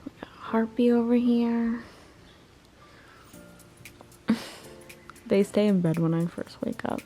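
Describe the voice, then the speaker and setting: A young woman vlogging at home, chatty and relaxed, walking through her evening and morning routine and talking to her viewers. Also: fairly high pitch, slow pace, soft background music. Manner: chatty, relaxed, says "like" and "you guys" often. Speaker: lifestyle vlogger. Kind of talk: casual chat to camera, showing a routine. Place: her home, bedroom and kitchen.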